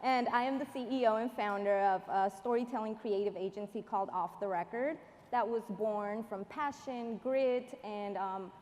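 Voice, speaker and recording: A woman's voice: mid-range voice, moderate pace, darkish tone. A confident woman from Mexico speaking proudly as a panelist at a live panel discussion. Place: large hall stage. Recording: reverberant hall with faint ambience.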